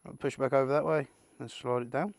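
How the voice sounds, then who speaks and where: A man's voice: mid-range voice, steady tone, fast talker, vocal fry, no room sound. A British man giving a casual, unscripted product unboxing, rambling and muttering asides to himself with the odd mild swear. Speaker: amateur video creator presenting an unboxing.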